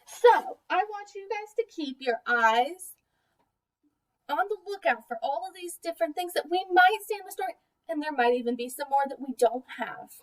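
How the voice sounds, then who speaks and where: A high-voiced woman speaks as a teacher reading a picture book to small children. Her delivery is expressive and deliberate, with questions put to the listeners, and she sounds a little way from the microphone. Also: distant mic, rough recording.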